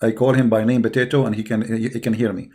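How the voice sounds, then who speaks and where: A man's voice: mid-range voice, little pitch movement, quick pace, dark tone. A man who speaks Arabic natively, hosting a religious debate in an insistent, combative manner and repeating phrases for emphasis. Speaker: debate show host. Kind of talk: argumentative religious debate with a caller.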